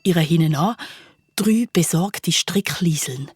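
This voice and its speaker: A woman's voice: lowish voice, slow pace, a little mellow, breathy edge, no echo, soft background music, heavily acted. A woman playing a wry, playful narrator, telling a scripted children's detective story in German. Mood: wry, dramatic.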